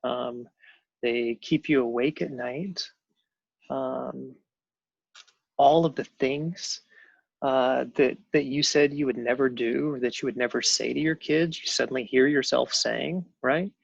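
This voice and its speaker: Guest, male, 50s; gravelly, halting storyteller, dull dry audio. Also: medium pitch, steady tone, dry recording. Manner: halting, many ums and restarts. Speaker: guest telling his adoption story. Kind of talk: personal storytelling on a panel show.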